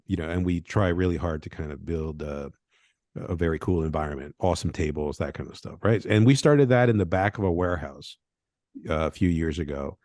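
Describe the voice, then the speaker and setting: Low-voiced man, rambling, dry sound. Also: animated, brisk, a little mellow, dry recording. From Venezuela. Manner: rambling, tag questions like "right?". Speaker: game designer guest. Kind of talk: casual podcast interview.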